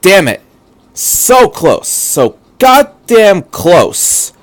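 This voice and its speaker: A man voicing a forceful female military commander in a story reading, barking urgent orders in commanding shouts. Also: high-pitched, big pitch swings, extremely slow, pitched above the performer's own voice, heavily acted. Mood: furious.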